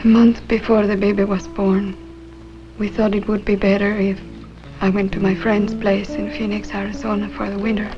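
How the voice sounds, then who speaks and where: Woman acting a plaintive young-wife type, earnest, fairly even pitch, 1950s drama, dull soundtrack with music behind. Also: moderate pace, close to an everyday voice. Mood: weary, wistful. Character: weary, wistful married woman denying then confessing a secret.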